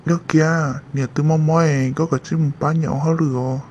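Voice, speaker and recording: A man voicing a male character in an animated family drama, in a dull lo-fi recording. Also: on the high side, normal speed, a little hiss or hum, lightly acted. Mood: conversational. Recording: dull lo-fi sound. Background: music.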